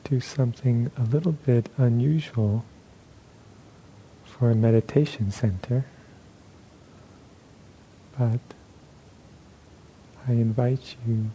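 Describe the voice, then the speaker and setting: A man guides a meditation in a very dark, relaxed voice. He speaks slowly, in short phrases set apart by long pauses. A faint low rumble sits behind him. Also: rough recording. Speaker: meditation teacher.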